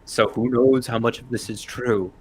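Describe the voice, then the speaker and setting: A man's voice: medium pitch, fairly even intonation, moderate pace, slight background noise, poor audio. Careful, hedging man with mid-range voice. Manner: careful, hedging, self-correcting. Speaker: panelist. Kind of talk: casual chat. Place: convention panel room.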